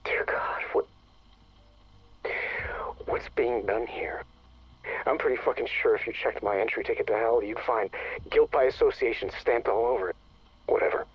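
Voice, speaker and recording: An actor playing a weary, bitter, guilt-ridden lab staffer in a game, muttering a sardonic confession in a gravelly, husky voice. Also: male voice, on the low side. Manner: sardonic, foul-mouthed, muttering. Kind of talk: dramatic game voice acting, confessional audio log. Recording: muffled lo-fi radio-like audio log.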